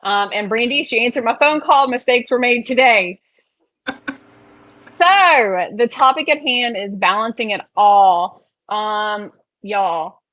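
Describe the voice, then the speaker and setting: A woman's voice: on the high side, slow, a bit bright, no room sound, highs cut off. A woman with a Southern US accent hosting a casual chat over a video call, chatty and full of y'alls. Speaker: podcast host.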